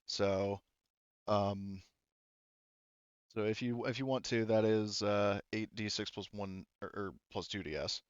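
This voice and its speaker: A man running a tabletop game as game master, explaining rules casually in a halting way and often repeating himself. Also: fairly low pitch, steady tone, clear, resonant tone, no echo, dull audio.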